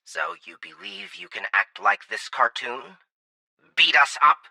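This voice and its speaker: Menacing alien military commander for sci-fi audiobook: growling, raised pitch, hard-edged piercing tone, threatening and exacting. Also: male voice, very animated, creaky, pushed and bright, no echo, full character voice, poor audio. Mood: insistent. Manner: demanding, deliberate, threatening.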